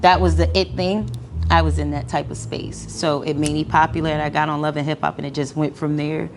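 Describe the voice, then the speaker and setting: Young woman interview guest, low gravelly voice, animated and slangy, noisy background. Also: fairly quick. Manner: animated, slangy, laughs often. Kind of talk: casual interview chat.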